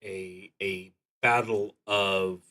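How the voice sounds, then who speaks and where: A man streaming a solo roleplaying game, reading prompts aloud and thinking out loud in a deliberate, halting way that trails off into muttering. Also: low-pitched, steady tone, a little hiss or hum.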